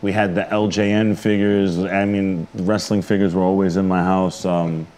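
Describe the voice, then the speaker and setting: A man's voice: low voice, level tone, normal speed, darkish tone. A middle-aged man with a New York accent, chatting casually and informally as a wrestler being interviewed in a studio. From the Bronx, New York. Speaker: interview guest, pro wrestler. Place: indoor studio.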